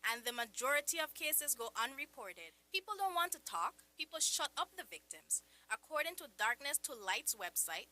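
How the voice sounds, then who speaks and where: Female actor as assertive radio-drama psychologist host, measured and earnest, high forceful voice. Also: big pitch swings, full character voice. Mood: firm. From Virgin Islands. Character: earnest radio psychologist, outspoken abuse survivor.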